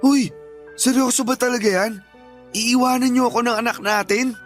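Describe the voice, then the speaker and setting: Shameless lazy pinoy boyfriend, whiny n blaming, radio drama, bit of music under. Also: male voice, very high voice, steady tone, moderate pace, a bit bright. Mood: alarmed, pleading. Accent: Filipino. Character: shameless, lazy cheating live-in boyfriend and father. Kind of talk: Filipino radio drama acting.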